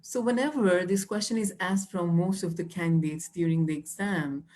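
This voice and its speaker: A woman's voice: on the low side, moderate pace, a little mellow. A young woman, teaching exam tips patiently with many fillers and restarts. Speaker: IELTS trainer. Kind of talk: live online teaching.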